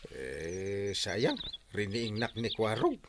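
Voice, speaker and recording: An actor playing an excitable, gullible village captain in a radio comedy-drama, exclaiming eagerly and then grumbling. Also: male voice, lowish voice, takes it slowly, heavy background noise. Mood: wistful.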